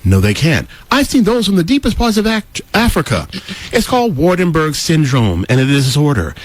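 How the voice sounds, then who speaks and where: Male talker, opinionated explainer and storyteller, emphatic delivery with highly animated intonation. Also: a little fast. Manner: emphatic, acts out quoted remarks. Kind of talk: opinionated explanatory talk with anecdotes.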